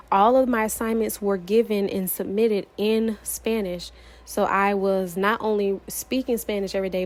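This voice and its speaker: A woman's voice: medium pitch, a little mellow. A young woman from Florida, sharing her personal testimony in an earnest, emphatic way, repeating phrases to drive them home. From United States, Florida.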